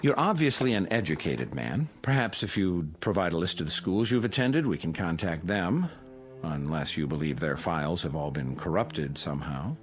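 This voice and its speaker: Deep-voiced, condescending psychiatrist. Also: male voice, expressive, soft background music. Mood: detached reasoning. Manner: measured, patronizing, intellectual. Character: calm, condescending male psychiatrist at a mental institution. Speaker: actor.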